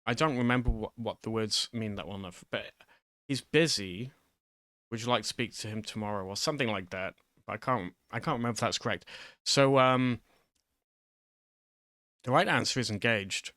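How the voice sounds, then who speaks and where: A man's voice: fairly low pitch, normal speed, long pauses, sharp tone, no echo. A man giving an informal, improvised lesson in a hesitant, rambling, self-deprecating way, close to the microphone. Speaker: online video host.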